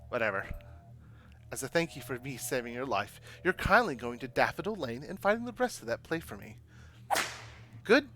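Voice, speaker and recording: A man's voice: medium pitch, big pitch swings, normal speed, pauses often, fairly bright tone. A man voicing a self-important, imperious young female student in a visual novel read-aloud. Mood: curt, dismissive. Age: young adult. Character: haughty, bossy young woman student obsessed with her thesis.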